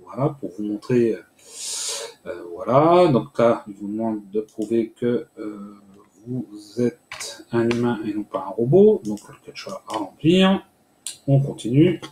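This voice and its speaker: A man hosts a screen-recorded tutorial and explains each step slowly, with frequent hesitations. His voice is mellow and warm. The room around him echoes, with a faint hiss behind. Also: mid-range voice, expressive. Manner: hesitant, many "euh" fillers, step-by-step explaining. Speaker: tutorial host.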